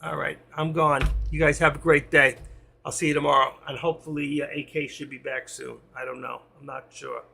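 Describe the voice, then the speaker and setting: Man, live trading stream host, heavy vocal fry, bright edgy tone, losing his voice, quiet asides. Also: a little high, little pitch movement, fairly quick, slight background noise. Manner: talks to chat while watching charts, quiet asides between sign-offs. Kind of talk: live market commentary to viewers.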